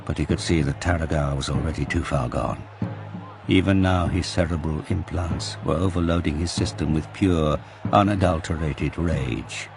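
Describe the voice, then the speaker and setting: A man giving a grave, intense, deliberate narration of scripted fiction for an audio drama. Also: rumbling low, creaky. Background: music.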